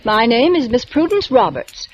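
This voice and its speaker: An actress playing a prim, proper young librarian in a 1940s radio drama, speaking with precise, slightly indignant diction. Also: female voice, on the high side, very animated, moderate pace, lo-fi sound, slight background noise. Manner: primly precise, a little indignant.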